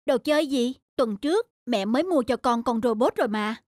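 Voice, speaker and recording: A woman's voice: fairly high pitch, expressive, fairly quick, a little mellow, no room sound. Give me a firm cartoon cat mother. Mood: explaining. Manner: motherly, scolding and soothing. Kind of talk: children's cartoon dubbing. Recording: studio voice over background music.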